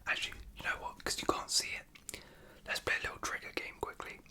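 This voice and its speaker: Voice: fairly quick, bright, forceful tone. A British ASMR host whispering casual, unscripted chat in a hesitant, rambling way. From United Kingdom.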